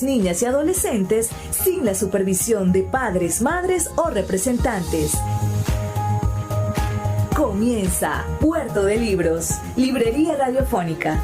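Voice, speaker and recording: A woman announcer reads a program opening in a somewhat high voice with a lively, lilting melody. She speaks in smooth, measured phrases, and a clear music bed plays under her. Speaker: station announcer. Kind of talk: scripted program intro.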